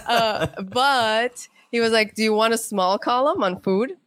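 A middle-aged American woman telling anecdotes as a guest in a casual interview, chatty and quick to act out dialogue. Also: on the high side, a bit bright, no room sound, clean studio sound.

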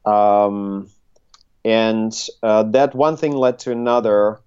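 A middle-aged man, speaking conversationally as an interview guest and haltingly thinking his way through his answers. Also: on the low side, fairly even intonation, a little mellow, smooth, highs cut off. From Russia, Soviet Union. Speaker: interview guest, tech consultancy CEO. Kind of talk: conversational interview, recounting career.

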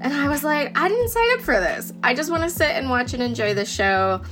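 A woman's voice: sits high, very animated, fairly quick, a bit bright, soft background music. A woman interviewee talking casually and animatedly, often quoting her own reactions. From born in Korea, adopted in the US.

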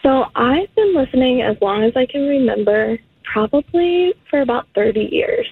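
A woman in her thirties calling into a radio show, soft-spoken and giggly with a small, high voice. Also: animated, moderate pace, slightly rough, no room sound. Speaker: radio caller. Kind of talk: casual phone chat. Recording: tinny phone line.

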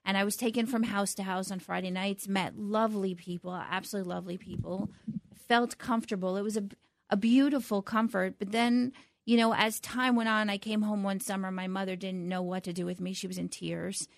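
A middle-aged woman speaking as an interview guest, reflective and halting, often restarting her sentences. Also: medium pitch, steady tone, creaky, no room sound. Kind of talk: conversational interview.

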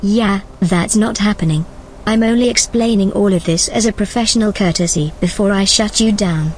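A woman's voice: medium pitch, normal speed, darkish tone, a little hiss or hum, lightly acted, rough recording. Woman playing a smug, breezy rogue AI. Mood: sardonic. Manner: casual, wry, unbothered. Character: smug, sardonic, breezy rogue female artificial intelligence. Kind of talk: scripted audio drama acting.

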